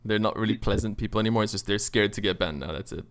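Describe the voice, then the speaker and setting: A man's voice: fairly low pitch, very fast, gravelly, smooth. A man chatting casually and enthusiastically on a gaming podcast as its host, swearing freely.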